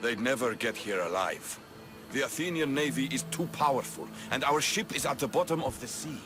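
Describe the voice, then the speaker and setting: An actor playing a proud, earnest Spartan military leader in a video game, speaking tersely. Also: male voice, medium pitch, animated, normal speed, music in the background, close to an everyday voice. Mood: serious. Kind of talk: video game cutscene acting.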